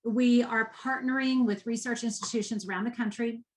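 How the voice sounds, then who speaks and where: A woman's voice: fairly high pitch, steady tone, brisk, slight background noise. Passionate Texan woman. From Texas. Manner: passionate, emphatic, repeats for emphasis. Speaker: guest, nonprofit education executive. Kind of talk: interview.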